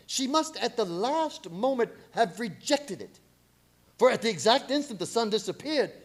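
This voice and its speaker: Vampire-hunting professor, male actor, radio horror: commanding, intense, high male pitch, very animated delivery. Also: full character voice. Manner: intense, declamatory, dramatic. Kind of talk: radio play horror acting.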